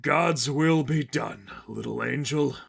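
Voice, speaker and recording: A man's voice: medium pitch, slow pace, creaky, breathy edge, exaggerated voice. A man voicing a fanatical male villain, battered and taunting, with a strained, sanctimonious delivery in a story reading. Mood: grim menace.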